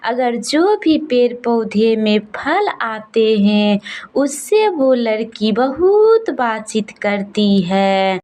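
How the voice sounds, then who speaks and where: A woman storyteller narrating a cartoon horror tale for children in a simple, repetitive oral style. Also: medium pitch. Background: music.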